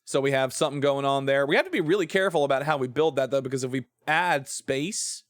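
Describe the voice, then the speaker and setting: A man's voice: medium pitch, quick pace, no echo. An American man chatting casually while he plays on a livestream, thinking aloud in a rambling way. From southern-adjacent United States. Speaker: game streamer. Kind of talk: casual livestream chat while playing a building game.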